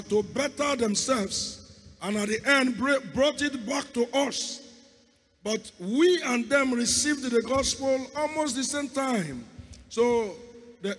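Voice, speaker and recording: A hoarse man preaches a sermon in a church hall, and his strained voice sits high. He speaks with fervor and calls on God again and again. His words ring out with a long reverb around the room. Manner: fervent, repeats calls to God. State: hoarse, lost voice. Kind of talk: sermon with opening prayer.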